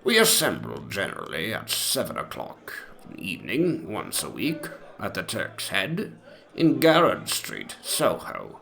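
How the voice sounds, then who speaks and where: Memoir dramatisation: male actor as very old, self-important 18th-century gentleman. Bright, crisp-edged attack, highly animated intonation, archaic mannered diction. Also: slight background noise, full character voice. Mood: genteel reminiscing. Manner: mannered, antiquated, pompous diction with drawn-out words. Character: pompous, ancient 18th-century English gentleman memoirist, fond and gossipy. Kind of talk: dramatic reading of a mock memoir.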